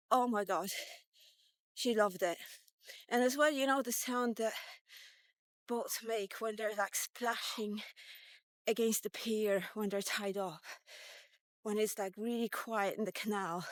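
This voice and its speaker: A woman in her fifties telling a personal tale informally, halting and thinking aloud with drawn-out pauses as she goes. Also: mid-range voice, no room sound. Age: 50s. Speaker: vlogger. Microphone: walkie-talkie vlog, recorded on the go.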